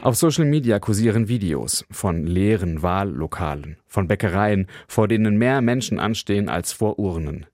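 A man filing a scripted radio news report as a correspondent, measured and matter-of-fact. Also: lowish voice, expressive, darkish tone, no room sound, clean studio sound, faint music behind.

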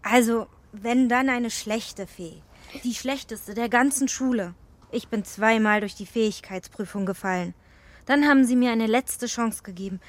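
Woman acting a high-voiced, self-doubting young fairy. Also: moderate pace, close to an everyday voice. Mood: dejected. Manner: self-deprecating, matter-of-fact. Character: young self-doubting fairy pupil, a girl, blunt but kind. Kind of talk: children's radio play acting.

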